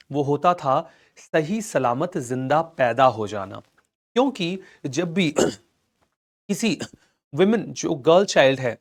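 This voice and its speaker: A man with an Indian accent and a slightly hoarse throat, teaching a live online history class and reassuring his students as he goes. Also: on the high side. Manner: reassuring, calls students "beta", mixes English into Hindi.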